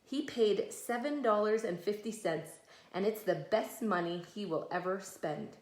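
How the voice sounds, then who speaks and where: A woman's voice: medium pitch, unhurried. A woman reading a picture book aloud as a mother to children, explaining things patiently in simple, conversational words. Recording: echoey room.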